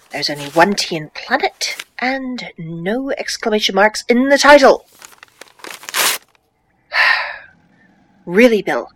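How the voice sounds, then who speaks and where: A woman playing an exasperated, pedantic script editor in an office comedy, dry and deliberate. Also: mid-range voice, a bit bright, a little hiss or hum, low-quality recording.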